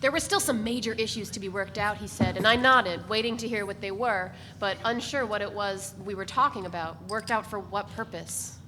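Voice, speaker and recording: A woman reading literary narration aloud at a steady, measured pace. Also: medium pitch, in a large hall, a little hiss or hum.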